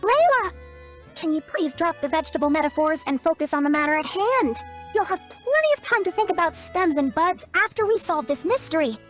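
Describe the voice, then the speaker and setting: A woman voicing a tiny, childlike, perky and blunt fairy companion in a video game, with a squeaky voice and exclamatory delivery. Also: big pitch swings, fairly quick, fairly bright tone, heavily acted. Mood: skeptical, blunt. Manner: refers to self in third person, exclamatory. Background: music.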